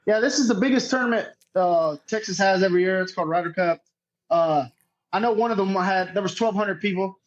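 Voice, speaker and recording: High-voiced man, casual and sweary. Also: a little fast, lo-fi sound. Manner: sweary, slangy, unpolished. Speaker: podcast guest, cornhole player. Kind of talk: casual chat, storytelling.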